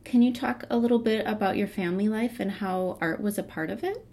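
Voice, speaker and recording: A woman interviewing an artist, asking gentle prompting questions. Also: medium pitch, brisk.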